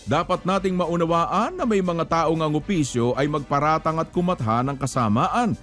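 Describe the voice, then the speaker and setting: A man's voice: a little high, moderate pace, fairly bright tone, soft background music. A man preaching in an admonishing, emphatic way, asking rhetorical questions and quoting scripture. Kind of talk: religious exhortation with rhetorical questions and scripture quotes.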